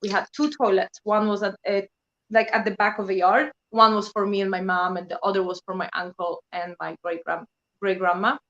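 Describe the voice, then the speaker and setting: A woman's voice: medium pitch, brisk, dull audio. A woman in her thirties from Poland, with a Polish accent, chatting casually as a guest and telling stories with lots of fillers. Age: 30s. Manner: animated storytelling, many fillers like "you know". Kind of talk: casual chat.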